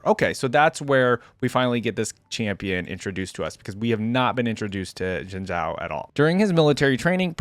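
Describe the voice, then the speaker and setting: A man's voice: medium pitch, dry recording, studio quality. A man hosting a reaction video, casually commenting and reading text aloud in an informal way. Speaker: YouTube reaction host.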